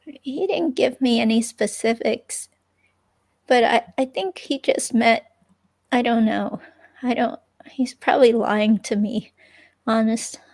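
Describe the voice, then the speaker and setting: A woman reading the part of an evasive, unsure ex-girlfriend in a police interview, with a creaky, hesitant, rambling delivery. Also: fairly high pitch, takes it slowly, darkish tone, heavily acted. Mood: hesitant, vague. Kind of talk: dramatic reading of an interview transcript, conversational.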